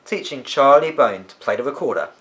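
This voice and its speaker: An impatient elderly music master from a storybook, acted by a man. He grumbles in exasperation, his complaints moving sharply up and down in pitch. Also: lively intonation, fairly quick, a bit bright, natural voice. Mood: exasperated.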